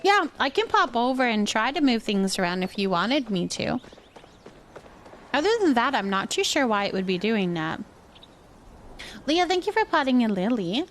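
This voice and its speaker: A woman's voice: fairly high pitch, big pitch swings, normal speed, pauses often, fairly bright tone, soft background music. A woman hosting a livestream, chatting casually and thinking aloud as she plays a building game. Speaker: livestream gaming host. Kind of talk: casual chat while playing a building game.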